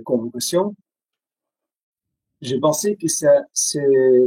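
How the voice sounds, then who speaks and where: A man from Syria with a Syrian accent, Arabic his first language, speaking haltingly with many pauses and restarts as an interview guest on a video call. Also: on the high side, dark tone, breathy edge, no echo, low-quality recording.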